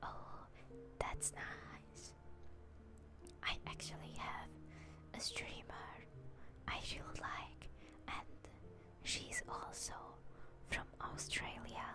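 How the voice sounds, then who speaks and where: A young woman from Hungary, whispering softly as she chats with viewers on an ASMR stream. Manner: whispered, soft and slow, chatting with viewers. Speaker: VTuber streamer. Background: music.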